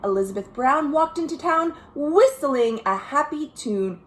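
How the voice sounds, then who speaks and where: An American woman, a children's librarian, reading a picture book aloud in an expressive, sing-song way with chatty asides to young listeners. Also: high-pitched, a little slow, reverberant, slight background noise. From United States. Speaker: children's librarian hosting a virtual storytime. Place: at home.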